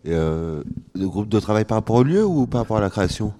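A man's voice: on the low side, very animated, fast, dark tone. A man living in a squat, answering questions enthusiastically, stumbling over repeated words. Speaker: interviewee, squat resident. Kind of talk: casual interview answer.

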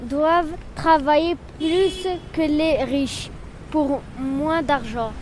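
A woman's voice: high voice, fairly even intonation, takes it slowly, slight background noise. A young woman interviewer putting questions for a youth radio show. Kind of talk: vox pop questions and debate prompts.

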